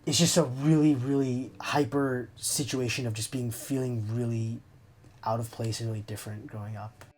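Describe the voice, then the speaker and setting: A man's voice: mid-range voice, steady tone, moderate pace, a little mellow. A young man speaking candidly and reflectively in an interview, often hesitating as he searches for his words. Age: young adult. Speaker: interview subject.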